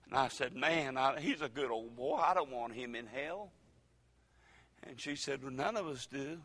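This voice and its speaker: An older man preaching a folksy sermon as pastor, with dramatic pauses and sudden loud rises. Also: mid-range voice, animated, long reverb tail. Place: church.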